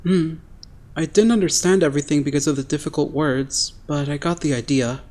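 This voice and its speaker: A man's voice: fairly high pitch, brisk, darkish tone, natural voice. A man voicing an earnest, naive teenage boy idol in an anime game fandub, frank and guileless. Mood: innocent curiosity.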